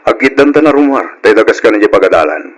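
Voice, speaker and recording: An actor playing an easygoing husband and dutiful son in an Ilocano radio drama. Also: male voice, fairly low pitch, very animated, fast. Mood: conversational. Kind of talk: old-style radio drama acting in Ilocano. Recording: old radio drama recording.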